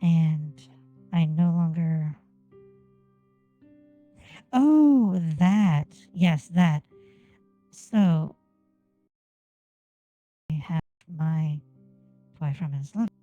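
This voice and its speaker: Japanese-native woman streamer, deep and dark, slow deliberate delivery, muffled lo-fi mic sound. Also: lively intonation, no room sound, soft background music. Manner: deliberate, careful enunciation. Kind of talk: casual gameplay stream chat. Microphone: desk microphone on an arm.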